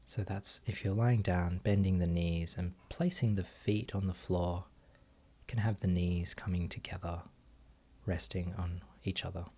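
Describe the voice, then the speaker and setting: A man guiding a meditation as a teacher, giving gentle instructions with long pauses. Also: low-pitched, fairly even intonation. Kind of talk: guided meditation.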